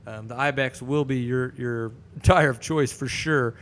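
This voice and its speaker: A man's voice: medium pitch, measured pace, a bit bright. A man in his thirties hosting a casual podcast show, upbeat and swearing casually as he chats and reads sponsors. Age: 30s. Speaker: host. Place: studio set with couch. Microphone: boom mic.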